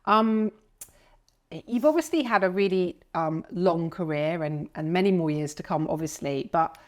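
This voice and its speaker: Effusive podcast host woman, lowish voice. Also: normal speed. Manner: effusive, hesitant fillers. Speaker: podcast host, buying agent. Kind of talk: podcast interview. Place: client's house.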